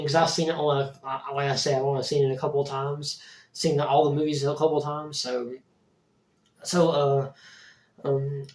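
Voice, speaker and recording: Hoarse male reviewer with a cold. Casual and rambling, often restarting. Recorded in an echoey room from a distance. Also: on the high side. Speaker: movie reviewer, channel host. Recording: roomy echo, distant mic.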